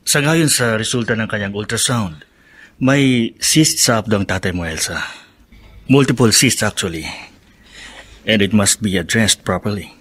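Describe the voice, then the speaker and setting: An actor playing a frank, measured doctor who mixes in English medical phrases, in a Filipino radio drama. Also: male voice, fairly low pitch, a bit bright, slightly rough. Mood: grave, measured. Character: measured, frank male doctor giving a diagnosis.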